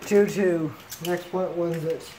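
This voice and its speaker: A man hosting a home video of toy battles, chatting casually and calling out the scores in short, relaxed bursts. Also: fairly high pitch, slow pace, slight background noise. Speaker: beyblade battle host. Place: indoors, at a table with a stadium.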